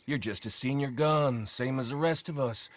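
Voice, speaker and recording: An actor playing a sly, swaggering American outlaw villain in a video game, drawling and taunting in a smug way. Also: male voice, mid-range voice, moderate pace, natural voice. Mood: smug, bossy. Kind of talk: video game cutscene dialogue.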